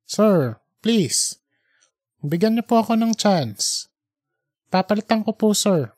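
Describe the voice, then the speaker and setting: A man's voice: sits high, very animated, takes it slowly, darkish tone, dry recording, pitched above the performer's own voice, heavily acted. An earnest young male reporter, acted by a male narrator in an audiobook tale. He is apologetic, polite and hesitant as he pleads with a superior, recorded close and dry. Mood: apologetic, pleading.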